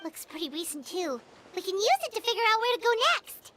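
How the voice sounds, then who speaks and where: A woman voicing a tiny cheerful fairy companion girl in a video game, squeaky, childlike and excitable. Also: fairly quick, background noise. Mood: curious. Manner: bubbly, excitable, expressive.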